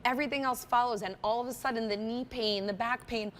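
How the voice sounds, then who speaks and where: A woman representing a brand, giving a conversational, emphatic product pitch and readily agreeing with her co-presenter. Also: steady tone, fast, fairly bright tone, a little hiss or hum.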